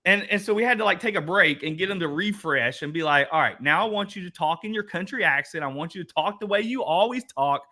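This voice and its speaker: Man, eastern kentucky accent, folksy workshop host, slightly high voice, bright tone. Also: expressive, fast, few pauses. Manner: chatty, folksy, restarts and speaks to the audience as "guys". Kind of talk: casual workshop talk.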